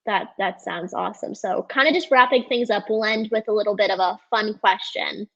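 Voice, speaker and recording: A woman's voice: mid-range voice, brisk, a bit bright. A woman hosting a casual interview, encouraging her guest with affirming remarks. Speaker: podcast host. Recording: dull, lo-fi sound.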